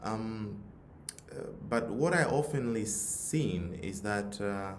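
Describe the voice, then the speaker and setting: A man's voice: lowish voice, takes it slowly. A man with a Tanzanian accent giving an earnest motivational talk, repeating phrases for emphasis. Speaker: youth nonprofit leader, forum speaker. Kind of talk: motivational talk with personal story. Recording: roomy echo, audible background noise.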